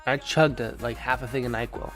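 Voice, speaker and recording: A man's voice: mid-range voice, steady tone, brisk, a bit bright, faint music behind. A young man hosting a live stream in casual, slangy chat, sounding stuffed-up and nasal from allergies. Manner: laid-back slangy chatter. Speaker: livestream host.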